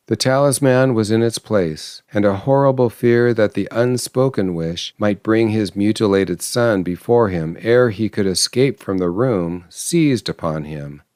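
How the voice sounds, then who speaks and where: Male audiobook narrator, clean resonant low voice, dramatic literary storytelling, recorded close and dry. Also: darkish tone, smooth, dry recording.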